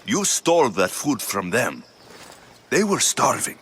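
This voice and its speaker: A man's voice: mid-range voice, big pitch swings, measured pace, fairly bright tone, slight background noise. An actor playing a confident, wry male mercenary warrior of ancient Greece in a video game, blunt and commanding. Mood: weary, blunt. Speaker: video game lead character. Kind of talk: dramatic game cutscene acting.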